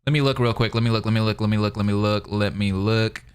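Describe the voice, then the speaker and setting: A man reading story narration aloud and breaking into casual, excitable reactions as a commentator. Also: fairly low pitch, fast, smooth. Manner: excitable, expressive.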